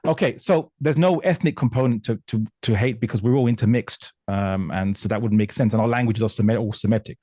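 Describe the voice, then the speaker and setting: A man from Portugal speaking as a guest commentator in an explanatory discussion, emphatic and halting, often repeating words. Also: on the low side, warm tone, no echo, clean studio sound. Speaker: guest commentator on religion and politics.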